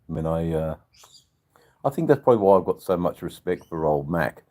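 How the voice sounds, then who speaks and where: An older man with an Australian accent and a husky voice, chatting casually to livestream viewers in a rambling, drawn-out, joking way. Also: low-pitched, lively intonation, dark tone. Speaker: livestream channel host. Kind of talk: casual live chat with viewers.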